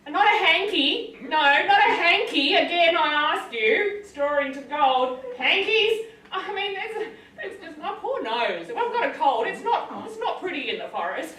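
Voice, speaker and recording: A cheeky little forest man with an Australian accent and a very high, piping voice, put on by a woman. He rambles in wry, self-pitying asides. The voice rings in an echoey room, heard from some way off the mic. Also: big pitch swings, unhurried, sharp tone, far from the mic, full character voice. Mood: aggrieved. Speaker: storyteller. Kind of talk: live comic storytelling in character.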